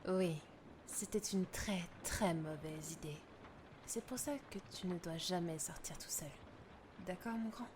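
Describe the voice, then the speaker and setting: A woman playing a gentle mother who narrates a spooky bedtime tale in a measured, soothing way. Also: fairly low pitch, a little hiss or hum, natural voice. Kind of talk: scripted bedtime-story narration, audio drama. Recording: music behind the voice.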